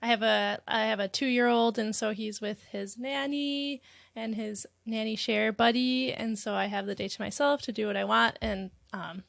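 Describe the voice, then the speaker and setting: A woman in her thirties, born in Spain and raised near Chicago, chatting as a guest, soft-spoken and laughing often. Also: a little high, fairly even intonation, normal speed, vocal fry, dry recording, studio quality. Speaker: guest illustrator. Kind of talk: casual interview chat.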